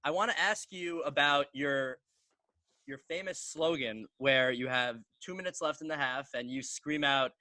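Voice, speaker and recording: A man's voice: on the high side, measured pace, bright, forceful tone, no echo, soft background music. A man hosting a podcast, asking casual interview questions.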